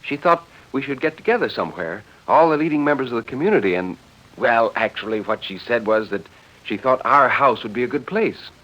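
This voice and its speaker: A man voicing a poised, genteel suburban wife who coaxes softly and hesitantly, in 1940s radio drama style. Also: mid-range voice, expressive, a little fast. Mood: genteel, coaxing. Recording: dull vintage 1940s radio recording.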